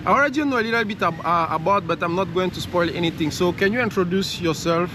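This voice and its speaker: A man's voice: high-pitched, fast talker. A man hosting a casual vlog interview, rephrasing his questions as he goes. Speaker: host and interviewer. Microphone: handheld camera held by him. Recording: loud outdoor traffic noise.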